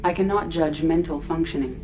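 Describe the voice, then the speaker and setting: An actress voicing a calm artificial intelligence aboard a starship in a video game, clinical, precise and formal. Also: female voice, low-pitched, brisk, close to an everyday voice. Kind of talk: video game character acting. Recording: clear background noise, ship-like ambience.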